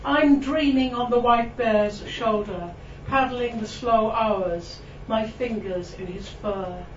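Welsh woman, higher voice, reads poetry to a live room, chatty asides; distant mic, echoey, dull and noisy. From Wales. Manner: chatty and self-mocking, with frequent ums and laughs; slow, lingering delivery when reading verse. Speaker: poet giving a reading. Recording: distant, roomy, dull recording with background noise.

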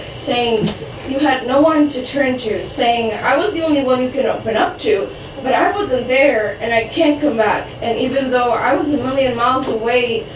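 A young woman from Croatia whose first language is Croatian, reading her spoken word poetry at an open mic in an earnest, rapid delivery. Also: on the high side. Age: young adult. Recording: echoey room, distant mic, faint noise.

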